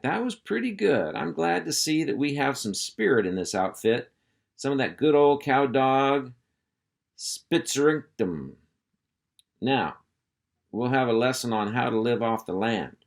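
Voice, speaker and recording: A man's voice: mid-range voice, expressive, a little slow, vocal fry. A man voicing a boastful, self-important male cow dog who bosses a pack of pups, with a folksy drawl. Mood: bossy. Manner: folksy drawl, bossy and blustering.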